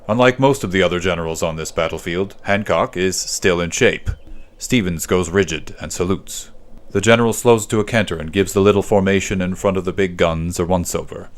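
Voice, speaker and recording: A man with a low-pitched voice narrates a war story. He reads in a scripted, dramatic storytelling style, as for a literary podcast. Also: fairly quick.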